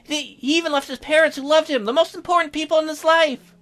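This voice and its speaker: A man voicing an excitable, touchy young nerdy woman for a visual novel, in an indignant, emphatic manner. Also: very high-pitched, soft background music, pitched above the performer's own voice. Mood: exasperated. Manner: indignant, emphatic, fast-swinging.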